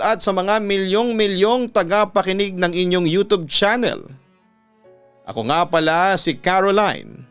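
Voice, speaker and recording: Smooth, clear Filipino male storyteller over music. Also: a little high, fairly bright tone. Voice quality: smooth, resonant. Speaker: radio announcer and story narrator. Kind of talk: dramatic scripted reading of a listener's letter. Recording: music bed under the voice. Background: background music.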